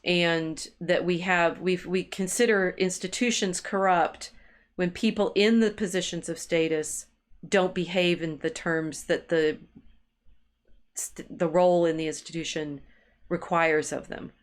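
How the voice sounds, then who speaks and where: A woman co-hosting an intellectual discussion, thinking aloud in a halting way and repeating words as she searches for phrasing. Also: lowish voice, steady tone. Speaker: co-host of a discussion stream.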